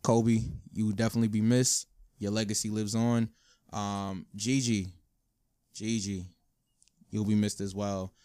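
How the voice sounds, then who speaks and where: A man co-hosting a casual podcast, talking informally with slang in a subdued, low-energy way. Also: level tone, unhurried, long pauses, mellow, clear, resonant tone. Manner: informal urban slang, frequent "you know what I'm saying". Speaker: podcast co-host. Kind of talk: casual conversational podcast talk.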